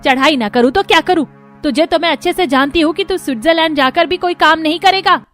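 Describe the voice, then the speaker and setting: Cartoon mother-in-law, played by a woman, high-pitched, bossy, scolding, harsh, faint music underneath. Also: a little fast. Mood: angry, disbelieving. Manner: harsh, commanding, sharp-tongued. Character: domineering, scolding rich mother-in-law, middle-aged woman.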